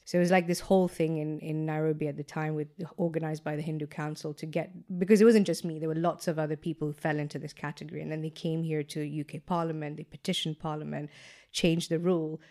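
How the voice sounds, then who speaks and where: A woman with a notably low, deep voice recounts her upbringing in a relaxed interview. She is chatty and animated, with frequent "like" fillers and quick asides. She comes from Kenya and speaks with easy, open warmth of memory. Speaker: guest entrepreneur. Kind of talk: casual interview storytelling.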